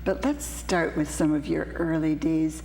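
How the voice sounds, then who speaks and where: A woman hosts a studio interview, asking questions in an unhurried, conversational manner with a slightly low voice. The room has a long echo and faint noise behind. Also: steady tone, gravelly, darkish tone. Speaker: talk show host.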